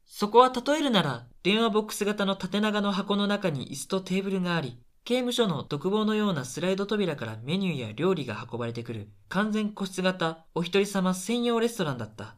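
A man playing a shy, lonely university student in a radio drama, mild and hesitant with a deadpan streak. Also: high voice, fairly quick, hardly any pauses. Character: shy lonely male university student, soft-spoken, quietly scheming. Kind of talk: radio drama dialogue and first-person narration.

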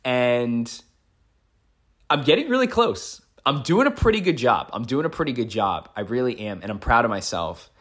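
A man's voice: medium pitch, big pitch swings, moderate pace, a bit bright. Emphatic American man in his thirties. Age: 30s. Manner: emphatic, repeats phrases for stress. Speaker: podcast host and life coach. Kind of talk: solo impromptu motivational podcast monologue.

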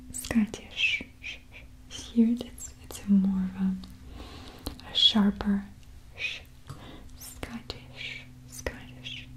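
Slow whispering woman with a Russian accent. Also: medium pitch, low-quality recording. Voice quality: soft whisper. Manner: slow, patient, repeats each sound. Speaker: language teacher. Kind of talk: ASMR whispered Russian alphabet lesson.

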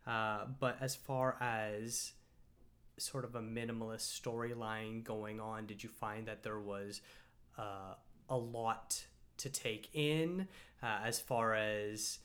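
A man with a fairly low voice talks through a film in a relaxed, thoughtful way. He hesitates often and circles back on his words as he works out his point. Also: slow. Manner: thoughtful, hesitant, lots of fillers and restarts. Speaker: podcast host and film reviewer. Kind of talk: casual film discussion.

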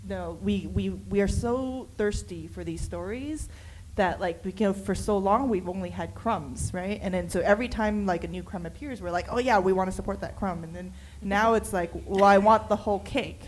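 A woman's voice: medium pitch, moderate pace, a little hiss or hum, low-quality recording. A woman writer speaking on a panel, rambling with many restarts and fillers. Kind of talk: panel discussion.

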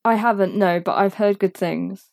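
A woman with a mid-range voice jokes in a deadpan way during a casual podcast chat. Her voice sits close and dry on the mic, with no room around it. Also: medium pitch, normal speed, no room sound. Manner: joking, deadpan boasts. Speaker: podcast co-host.

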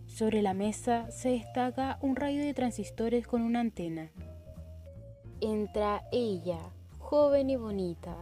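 A young Chilean woman narrating stage directions for a student radio play in a measured, deliberate way. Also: fairly high pitch, mellow, faint music behind. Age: young adult.

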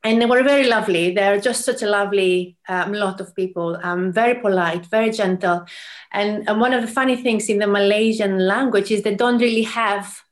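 A middle-aged woman from Greece, a mission representative telling a story in a relaxed interview, animated and full of fillers and restarts. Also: mid-range voice, moderate pace. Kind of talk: conversational storytelling.

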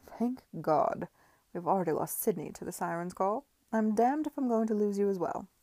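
A woman voicing a blunt, contemptuous, hard-drinking young gentleman in a period audiobook. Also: medium pitch, normal speed, no room sound, lightly acted. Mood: relieved, blunt.

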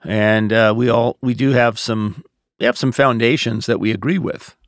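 An American man speaking as a pastor, answering questions in a measured, explanatory, conversational podcast style. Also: mid-range voice, steady tone, no room sound, studio quality.

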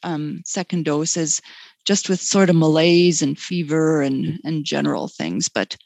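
A woman talking as a guest in a webinar conversation, relaxed and chatty, laughing often. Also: deep voice, unhurried, vocal fry, no room sound. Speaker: guest speaker.

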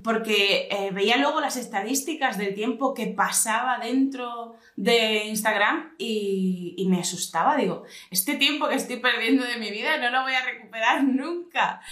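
Woman podcast host, slightly high voice, curious questioner, echoey room. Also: normal speed, few pauses, fairly bright tone. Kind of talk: casual podcast conversation.

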